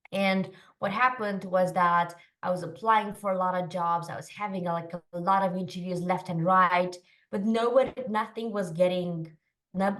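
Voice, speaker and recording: A woman in her thirties, originally from Romania, talks through her career as a podcast guest, quick and chatty, with plenty of "like" and "um". Her voice sits fairly low, and she sounds set back from the microphone. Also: far from the mic. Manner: quick, chatty, many fillers and "like". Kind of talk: casual interview storytelling.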